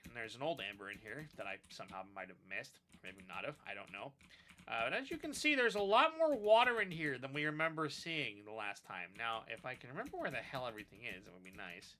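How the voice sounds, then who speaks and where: A man's voice: medium pitch, expressive, fast, pushed and bright. A man commenting casually on his game playthrough, sounding sinusy from allergies, rambling and swearing mildly. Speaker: gaming video host. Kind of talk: casual let's-play commentary.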